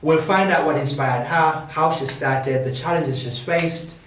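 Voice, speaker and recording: A man's voice: fairly high pitch, measured pace, a bit bright, a little hiss or hum. A man hosting a casual podcast, upbeat and full of slang as he introduces his guest. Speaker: podcast host. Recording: very echoey room, far from mic.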